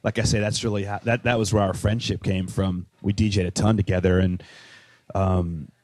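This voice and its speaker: A man with a Chicago accent chats as an interview guest, his voice sitting a bit low. He rambles with lots of "you know" and "like", circling back on himself with eager enthusiasm. The sound is dry and close, with no room around it. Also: level tone, moderate pace, darkish tone, no room sound. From Chicago, with Welsh roots. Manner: rambling, many fillers and restarts, enthusiastic. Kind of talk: casual interview chat.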